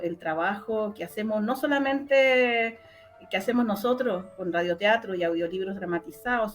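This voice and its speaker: Female interview guest, Chilean accent, conversational delivery, medium pitch, light music underneath. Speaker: interview guest, sound artist. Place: online interview call.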